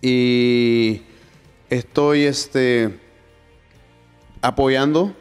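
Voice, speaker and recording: Young guy, soft and hesitant, lots of "este", smooth voice with a long echo tail. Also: medium pitch, fairly even intonation, very slow, soft background music. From Guatemala. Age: young adult. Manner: hesitant, many "este" fillers, soft-spoken. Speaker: guest. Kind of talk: testimony interview.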